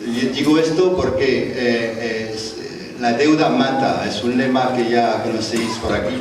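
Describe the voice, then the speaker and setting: A man's voice: mid-range voice, a little slow, slight background noise. A man with a French accent speaking Spanish at a conference panel, hesitant, with many fillers and restarts. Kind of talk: conference talk. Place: large conference hall. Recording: echoey hall, distant mic.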